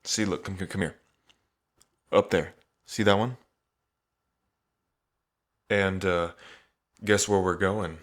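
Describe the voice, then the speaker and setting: A man's voice: deep voice, fairly even intonation, slow pace, long pauses. A man playing a cocky yet tender young dragon king in his twenties, speaking casually and hesitantly to the listener in an intimate ASMR roleplay. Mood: coaxing, reassuring. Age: 20s. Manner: casual, hesitant, trailing phrasing.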